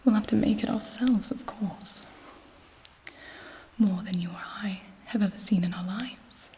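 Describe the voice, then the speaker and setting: A woman voicing a scheming, haughty vampire queen in a breathy, deliberate ASMR whisper. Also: medium pitch, warm tone, a little hiss or hum, close to an everyday voice. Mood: conspiratorial, calculating. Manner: slow, deliberate, imperious. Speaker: ASMR performer.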